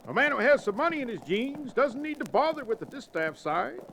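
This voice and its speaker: High-pitched, blustery old Western sidekick. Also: male voice, big pitch swings, a little fast, creaky, a bit bright, full character voice. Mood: mock-scornful. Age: older. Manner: pompous, florid, theatrical diction. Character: blustery old reprobate cowboy sidekick with florid speech. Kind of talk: old-time Western radio acting. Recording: noisy vintage radio drama recording.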